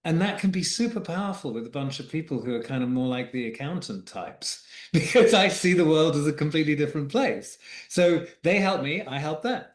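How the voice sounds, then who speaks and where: A man's voice: a little high, lively intonation, fairly quick, few pauses, echoey room. A man, an executive coach and guest, speaking reflectively in a podcast interview and often repeating words as he thinks aloud.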